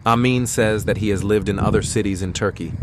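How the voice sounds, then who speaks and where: A man whose first language is Persian, narrating a travel vlog in an earnest, prayerful, unhurried way. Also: fairly low pitch, steady tone, background noise. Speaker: travel vlogger. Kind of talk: reflective voice-over narration. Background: music.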